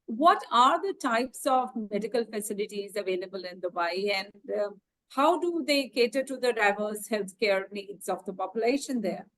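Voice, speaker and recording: A woman's voice: mid-range voice, lively intonation, normal speed, a bit bright, rough recording. A woman hosting an online webinar, upbeat and encouraging as she moderates and puts questions to her panelists. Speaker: webinar host. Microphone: video call.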